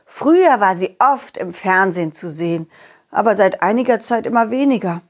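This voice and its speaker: A woman voicing a confident, loyal dog who tells an adventure to children in an expressive, chatty way. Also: medium pitch, normal speed, lightly acted. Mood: thoughtful, eager. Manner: animated, expressive, addresses children directly. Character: confident, loyal, friendly talking dog telling his adventure. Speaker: puppeteer storyteller. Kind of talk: children's audio story, narrating to kids.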